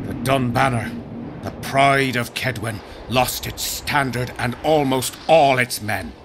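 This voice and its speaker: A grim, embittered war veteran, noisy background. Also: male voice, medium pitch, creaky. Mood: bitter. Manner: grim, coarse-tongued storytelling. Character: embittered veteran soldier, gruff survivor of a lost regiment. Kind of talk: dramatic video game acting.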